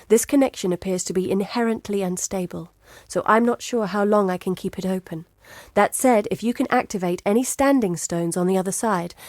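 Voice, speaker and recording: Woman playing female game quest-giver, scholar-adventurer, determined, measured, articulate, businesslike, medium pitch, dry studio sound. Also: a little mellow, no echo, natural voice. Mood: cautioning. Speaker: quest-giver character in an action RPG. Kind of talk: scripted game dialogue, briefing.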